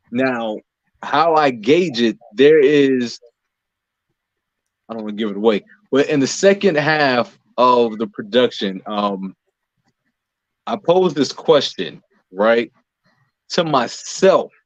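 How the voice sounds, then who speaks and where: An American man answering interview questions conversationally as a guest, explaining in a measured way with pauses between phrases. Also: medium pitch, dry recording, lo-fi sound. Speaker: playwright, director and actor interviewed as guest.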